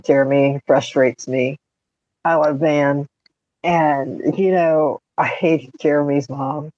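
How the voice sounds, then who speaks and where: A woman's voice: deep voice, fairly even intonation, slow pace, a little mellow, dry recording. A woman co-hosting a podcast, giving emphatic opinions in casual chat over a slightly dull, lo-fi microphone. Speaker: podcast host. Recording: slightly dull, lo-fi mic sound.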